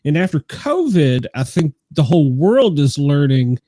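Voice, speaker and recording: A man's voice: a little high, big pitch swings, unhurried, dark tone, no room sound, clean studio sound. A man hosting a podcast in casual, conversational style. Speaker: podcast host. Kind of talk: casual chat.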